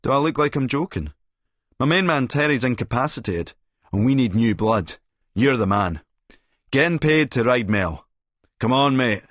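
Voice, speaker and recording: A cocky Scottish schemer, acted by a man for an audiobook. He is smooth-talking, theatrical and taunting, pitched up a little from a low register. The sound is dry and close. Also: lively intonation, moderate pace, pauses often, fairly bright tone, no room sound, natural voice. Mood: persuasive.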